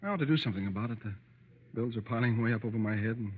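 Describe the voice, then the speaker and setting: A man's voice: mid-range voice, racing, slightly rough, natural voice. An actor playing a frantic, anxious young husband and new father in old-time radio drama style. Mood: resigned. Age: young adult. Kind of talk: 1930s-40s radio drama acting. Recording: muffled, noisy, reverberant old radio recording.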